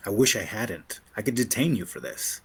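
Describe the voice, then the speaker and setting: A man reading as a husky, stern rebel major. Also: fairly low pitch, lively intonation, normal speed, a bit bright, exaggerated voice. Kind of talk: sci-fi audio drama table read.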